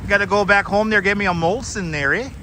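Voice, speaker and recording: Man putting on a comic Canadian beer guy, mock accent, high and bright. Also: quick pace, a little hiss or hum. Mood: joking. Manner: tags every line with "eh".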